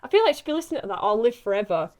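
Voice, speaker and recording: A woman's voice: fairly high pitch, sing-song, racing, a little mellow. A woman chatting casually and informally as an actress on a podcast. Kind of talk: casual chat.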